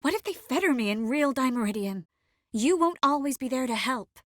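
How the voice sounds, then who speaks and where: An actress voicing a young sorceress in a video game, gentle, earnest and determined, with a measured, expressive delivery. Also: female voice, high voice, dry recording, studio quality. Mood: earnest, concerned. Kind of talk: video game character voice lines.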